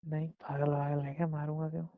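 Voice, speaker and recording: Young guy chatting w his girlfriend on the phone, gravelly voice, muffled lo-fi recording. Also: fairly high pitch, monotone, fast, dry recording. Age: young adult. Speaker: boyfriend. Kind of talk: casual intimate phone chat. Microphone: phone call.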